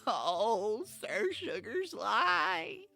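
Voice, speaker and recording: A man's voice: very high voice, expressive, extremely slow, vocal fry, faint music behind, pitched above the performer's own voice, heavily acted. A man voicing a tiny, melodramatic, weepy male elf for a comic children's story, wailing and whimpering with a cracking voice. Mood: tearful wailing. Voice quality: cracking, tearful. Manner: wailing, whimpering, sniffly, overdramatic.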